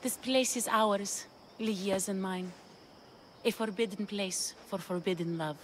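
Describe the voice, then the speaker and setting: Over clearly audible ambient noise comes an actress playing a young woman in a video game. She is devoted and anguished over a lost lover. Her medium-pitched voice is earnest and pleading. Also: measured pace, natural voice. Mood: urgent sorrow.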